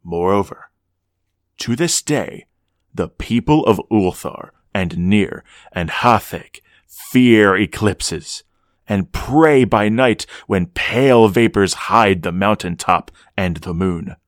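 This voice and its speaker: An actor playing a grave storyteller, narrating a gothic horror radio drama with solemn, theatrical, measured phrasing. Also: male voice, lowish voice, no echo, studio quality. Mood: solemn, ominous. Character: grave storyteller of an ancient dread legend.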